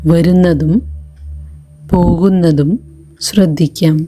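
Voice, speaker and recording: A woman leading a guided meditation in a gentle, calm, deliberate way, leaving long pauses between short phrases. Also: lowish voice, soft background music. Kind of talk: slow guided meditation narration.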